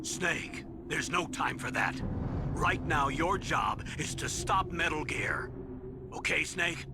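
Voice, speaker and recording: A man's voice: a little high, lively intonation, takes it slowly, creaky, noisy background, heavily acted. A man playing an authoritative military colonel in a video game, giving urgent, commanding briefings. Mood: firm, urgent. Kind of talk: video game cutscene voice acting. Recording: loud music behind.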